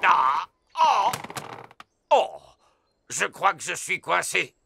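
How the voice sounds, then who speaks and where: Gravelly old grandpa dog. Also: male voice, high-pitched, big pitch swings, extremely slow, very noisy, heavily acted. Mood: flustered. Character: elderly grandfather dog, gruff but obliging. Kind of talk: children's cartoon dubbing. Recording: music behind the voice.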